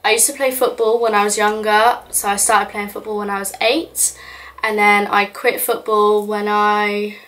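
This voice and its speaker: A young woman from the UK talks through a question list for her vlog. Her delivery is chatty and rambling, full of little fillers and restarts, at a middle pitch. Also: flat delivery, moderate pace, a bit bright. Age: young adult. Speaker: YouTuber. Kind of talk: casual get-to-know-me vlog.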